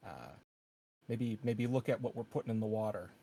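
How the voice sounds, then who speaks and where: A man playing a sardonic colony security operative in a tabletop sci-fi game, speaking bluntly in halting thoughts. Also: on the low side, expressive, creaky, dark tone, breathy edge, exaggerated voice. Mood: wry, suspicious. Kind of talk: in-character tabletop roleplay dialogue.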